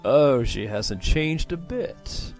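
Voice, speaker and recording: Gravelly, animated charming scoundrel. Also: male voice, mid-range voice, slow, faint music behind. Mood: smug. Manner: suave, genteel, self-assured. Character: smooth, charming scoundrel brother returning home. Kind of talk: audio drama acting.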